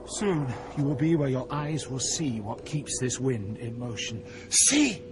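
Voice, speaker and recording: An actor playing a commanding, solemn poet who guides through Hell, declaiming deliberately in a dramatized audio poem. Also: male voice, mid-range voice, animated, background noise, lightly acted. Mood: awed, urging. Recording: loud music under the voice.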